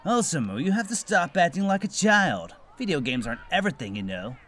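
A man plays a self-important, aloof schoolboy in an anime parody. His voice sits a little high for a man and moves around a lot, with deadpan, emphatic lecturing. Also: a little fast. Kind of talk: anime parody fan dub.

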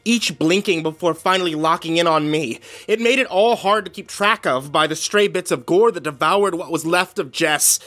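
A man's voice: a little high, bright, forceful tone. An actor playing a weary, haunted, cynical man who broods and swears through a first-person horror audio drama. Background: music behind the voice.

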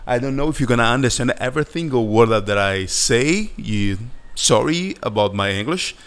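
A man with a Brazilian Portuguese accent, a Portuguese native speaker talking casually to his viewers as an animator hosting his channel, unhurried and searching for words. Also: medium pitch, animated, clear, resonant tone. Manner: slow and halting, searching for words, friendly. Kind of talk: casual vlog chat over a screen recording.

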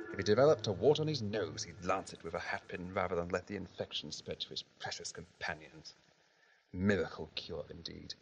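A man's voice: low-pitched, poor audio. A man playing a scornful, pompous villain in an audio drama, speaking with sardonic menace. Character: gloating, scornful male villain plotting mass murder.

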